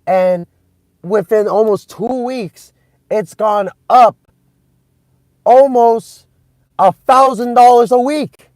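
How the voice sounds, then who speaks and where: A young man from Vietnam chatting with live-stream viewers, excitable and rambling, with many restarts and fillers. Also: very high voice, slow pace, long pauses, no echo. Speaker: channel host streaming live. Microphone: phone.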